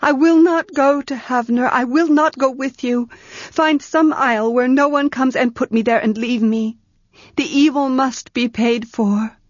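Woman reader doing a young woman full of guilt, high strained voice, slow, dull muffled sound. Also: no echo, natural voice. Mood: defiant. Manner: tense, pleading, breaking with grief. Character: anguished young woman, proud and guilt-ridden. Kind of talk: audiobook character reading.

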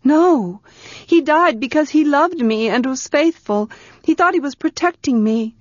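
A woman's voice: sits high, lively intonation, measured pace, no echo, pitched above the performer's own voice. A woman voicing an anguished young woman, proud and guilt-ridden, who talks in a tense, pleading way that breaks with grief. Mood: grieving. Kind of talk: audiobook character reading. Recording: dull, muffled sound.

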